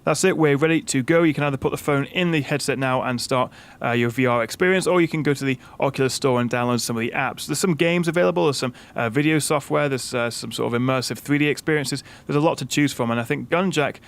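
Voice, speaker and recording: A man's voice: medium pitch, fast talker, gravelly. A young British man hosting a tech channel, talking through a product setup in a chatty way, with frequent "uh" fillers.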